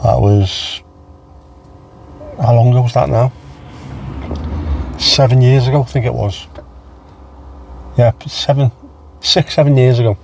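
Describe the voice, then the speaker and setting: A man with a light, not very deep Scouse accent hosts a casual livestream. He rambles easily from one thought to the next and greets his viewers by name. His voice sits at an everyday pitch with a mellow, dark-toned warmth. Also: fairly even intonation, unhurried, creaky, long pauses, a little hiss or hum. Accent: mild Scouse. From England. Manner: chatty, rambling, reads out chat names and answers them. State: low on energy. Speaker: livestream host.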